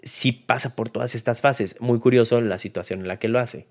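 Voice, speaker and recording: A man's voice: medium pitch, normal speed, a little mellow. A man with a Mexican accent gives a casual, unscripted film review in a conversational, colloquial way, like a host talking to listeners. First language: Spanish. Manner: conversational, colloquial, retells scenes with acted-out quotes.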